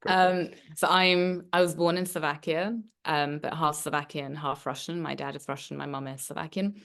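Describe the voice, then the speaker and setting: Woman, low voice, chatty relationship coach guest. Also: fairly even intonation, normal speed, creaky, fairly bright tone. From England. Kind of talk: casual interview chat.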